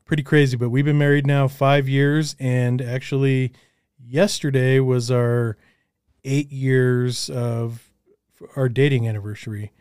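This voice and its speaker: A man's voice: mid-range voice, flat delivery, unhurried, darkish tone, clear, resonant tone, dry recording, studio quality. A man hosting a casual interview, affirming his guest with short encouraging replies. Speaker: interview host.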